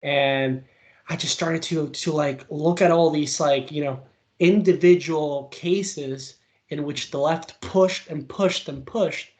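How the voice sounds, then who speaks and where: A young man from Venezuela, a political commentator, answering interview questions in a casual, animated way with many fillers and quick bursts. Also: fairly high pitch.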